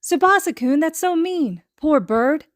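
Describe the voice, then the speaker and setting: A woman voicing a timid, kind, excitable little boy who stammers and gushes, in a fan fiction audiobook. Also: high-pitched, unhurried, no echo, studio quality, voice pushed up. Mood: shocked. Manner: stammering, gushing.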